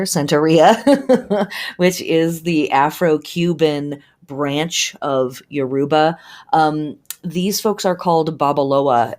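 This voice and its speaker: A woman's voice: sits low, a little mellow. A woman hosting a casual talk on the pagan calendar and astrology, chatty with many fillers, laughs and asides. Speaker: podcast host. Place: home in a noisy city.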